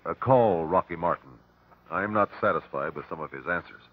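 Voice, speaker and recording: An actor playing a self-assured, formal, probing investigator in an old-time radio drama. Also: male voice, low voice, moderate pace. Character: self-assured, courteous male amateur legal investigator. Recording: tinny, narrow old radio recording.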